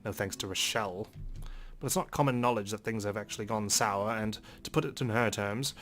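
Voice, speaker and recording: Low-voiced man reading a game aloud. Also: brisk, a little hiss or hum. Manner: expressive reading with wry asides. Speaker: let's play host. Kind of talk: reading a visual novel aloud. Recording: faint game music behind.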